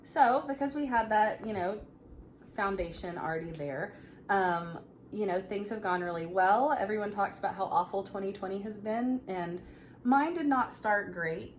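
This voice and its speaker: A woman in her thirties telling a personal story in a casual, chatty, animated way. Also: mid-range voice, normal speed. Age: 30s. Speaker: vlog co-host. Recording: clearly noisy room ambience.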